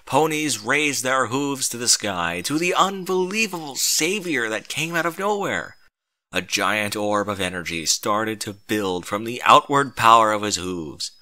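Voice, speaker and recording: A man's voice: medium pitch, pushed and bright. A man narrating a comedic fan-fiction story with mock-epic, theatrical emphasis.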